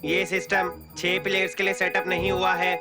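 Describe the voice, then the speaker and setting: Woman as a flat-toned little cartoon robot assistant. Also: on the low side, brisk, music in the background, natural voice. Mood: factual. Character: calm, matter-of-fact little robot assistant. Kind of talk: animated comedy dub.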